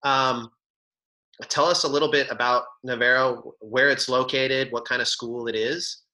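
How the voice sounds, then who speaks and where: A man's voice: mid-range voice, unhurried, poor audio. A man hosting a casual livestream interview, asking loose questions and cracking jokes. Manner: joking, relaxed questioning. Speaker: host.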